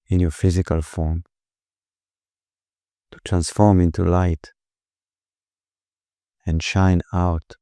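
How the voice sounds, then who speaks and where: A man leading a guided meditation in a slow, gentle, soothing manner, with pauses between phrases. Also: sits low, no echo, clean studio sound.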